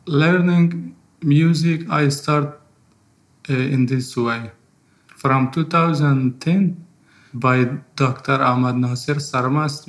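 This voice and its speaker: A man in his thirties from Afghanistan with an Afghan accent and Farsi as his first language, telling his own story in halting English. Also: mid-range voice. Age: 30s. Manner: halting, non-fluent English. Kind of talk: personal spoken testimony.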